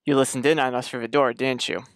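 An actor playing a loyal but incredulous friend in a radio mystery, excitable and wry. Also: male voice, mid-range voice, fast talker, smooth, no echo, clean studio sound, natural voice. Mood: uneasy. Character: incredulous, loyal friend drawn into grave robbing. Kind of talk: radio mystery drama acting.

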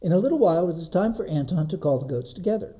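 A man reading a children's book as a librarian, in expressive narration with dramatic, measured phrasing. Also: fairly high pitch, dull audio.

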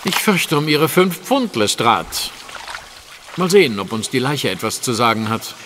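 Radio drama gentleman detective male actor: sardonic, self-assured, animated, forceful tone. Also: medium pitch, slight background noise, close to an everyday voice. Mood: wry. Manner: dry, mocking, brisk.

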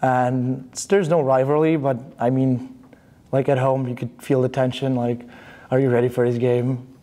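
A young man from Bulgaria, a student athlete answering interview questions in a studio, relaxed and a bit halting, with fillers and restarts. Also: mid-range voice. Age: young adult. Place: studio in front of a banner.

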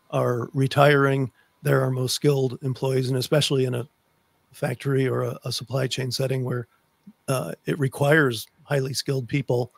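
A man's voice: mid-range voice, vocal fry, dry recording. American man hosting, dry close mic. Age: middle-aged. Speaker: podcast host. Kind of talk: conversational interview. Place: room with bookshelves, on video call. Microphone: close studio microphone on desk.

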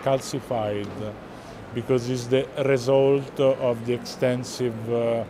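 Halting Italian man over clear crowd noise. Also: medium pitch, level tone. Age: middle-aged. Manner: halting, searching for words. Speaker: art gallerist presenting works. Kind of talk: explaining artworks to visitors. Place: large indoor art fair hall. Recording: busy hall crowd noise.

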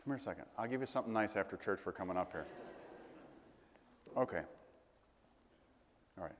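A middle-aged man delivers a sermon in a friendly, halting way, his somewhat low voice dotted with ums and restarts. He speaks to his congregation in a church, and a long reverb trails each phrase. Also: expressive, a little hiss or hum. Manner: conversational, halting with fillers and restarts. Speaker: priest.

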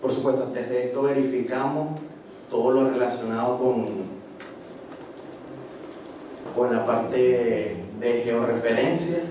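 Slow-talking Venezuelan man in a very echoey room. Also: mid-range voice, fairly even intonation, dark tone. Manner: halting, with frequent tag checks. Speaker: course participant presenting, marine geology researcher. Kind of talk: informal spoken presentation. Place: medium-sized indoor seminar room. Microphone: handheld microphone through PA, picked up by far camera. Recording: echoey room, distant camera microphone, noisy.

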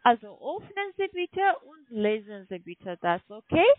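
A woman with a foreign accent speaking halting, non-native German on the phone, insistently repeating instructions as a call centre agent. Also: fairly high pitch, very animated, dry recording. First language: not German. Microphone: telephone. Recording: tinny, narrow phone line.

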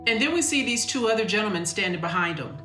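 A woman's voice: medium pitch, animated, fast talker. A woman commentating on events in a true-crime documentary. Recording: roomy, distant mic, music underneath.

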